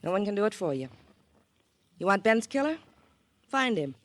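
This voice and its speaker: An actress playing a cool, defiant, hard-bitten widow in 1950s radio noir, taunting, clipped and unsentimental. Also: female voice, medium pitch, very animated, moderate pace, lightly acted. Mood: cold, defiant.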